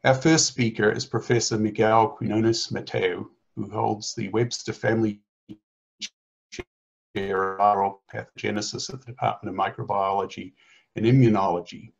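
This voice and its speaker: A man's voice: fairly low pitch, steady tone, normal speed, creaky, husky. A middle-aged man hosting an alumni webinar, reading formal introductions steadily over a video call. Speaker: event host introducing speakers. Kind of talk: read introduction. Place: indoor room with virtual city backdrop. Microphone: laptop webcam on video call. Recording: dull lo-fi call audio.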